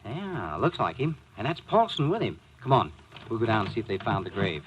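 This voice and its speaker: An actor playing a breezy, teasing newspaper editor and amateur sleuth in an old-time radio mystery. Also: male voice, lowish voice, big pitch swings, fairly quick, dull audio. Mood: eager. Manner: jaunty, cajoling, affectionate. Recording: hissy old radio recording.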